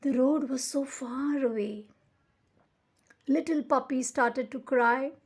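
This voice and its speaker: A woman reading a children's picture book aloud as a storyteller, with expressive, deliberate emphasis. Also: sits high, slightly rough.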